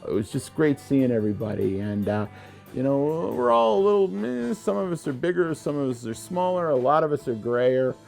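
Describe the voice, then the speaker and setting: An American man in his sixties with plenty of fry in his voice talks casually, as a musician looking back in an interview. Music plays faintly underneath. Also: a little high, normal speed. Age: 60s. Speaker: musician and songwriter in a band.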